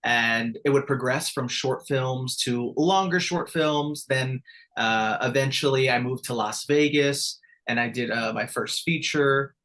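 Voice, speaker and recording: A man in his forties from Texas answers interview questions about his work as a film director. He speaks earnestly, pausing and restarting now and then. His voice sits at an ordinary middle pitch. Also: a bit bright. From El Paso, Texas.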